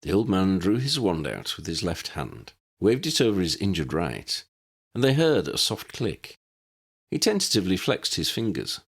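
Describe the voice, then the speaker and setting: A man reads fiction aloud as a narrator. His voice is deep and husky, and his pitch swings widely as he tells the tale. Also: wildly swooping pitch, normal speed. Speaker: audiobook narrator.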